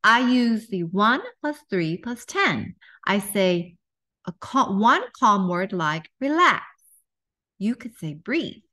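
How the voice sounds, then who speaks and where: A middle-aged woman reads aloud for young children. Her delivery is slow and deliberate, and her pitch moves in lively swings. It has the expressive shape of a storybook session. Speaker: children's author reading aloud.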